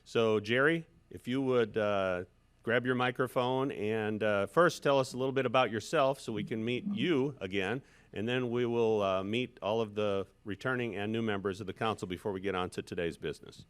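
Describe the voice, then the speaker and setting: A man's voice: on the low side, moderate pace, clear, resonant tone. A man running a council meeting who explains the procedure in an organized way and keeps the agenda on track. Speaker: meeting facilitator.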